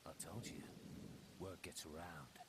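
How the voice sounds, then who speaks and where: An actor playing a bitter, defiant British soldier in a video game, gruff and sardonic with a gravelly voice. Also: male voice, low voice, steady tone, measured pace, noisy background. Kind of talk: video game cutscene acting. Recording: loud music behind.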